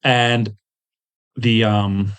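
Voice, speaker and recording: A man's voice: fairly low pitch, little pitch movement, drawn-out words, a bit bright, clear, resonant tone. A man telling rambling, self-deprecating anecdotes with jokey asides as a guest in a casual interview. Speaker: guest, tabletop game designer and webcomic artist.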